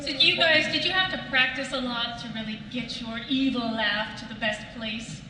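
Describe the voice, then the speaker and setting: Female panel moderator, high-pitched and bright, casual, distant reverberant recording. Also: moderate pace. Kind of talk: casual live panel hosting. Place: large convention panel room. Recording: distant, echoey hall, faint noise.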